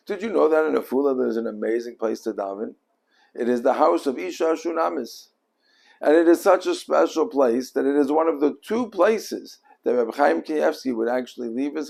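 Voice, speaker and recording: An older man telling a personal religious story in an earnest, devout way with deliberate phrasing. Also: medium pitch, creaky, a little mellow.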